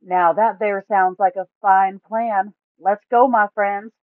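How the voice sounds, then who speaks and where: A woman voicing an easygoing male adventurer with a slow drawl. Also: medium pitch, steady tone, no echo, phone-quality sound, pitched above the performer's own voice, lightly acted.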